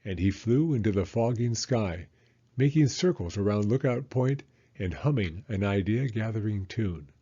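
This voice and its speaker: A man reading a children's story aloud with expressive narration. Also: lowish voice, moderate pace, slightly rough, dull audio.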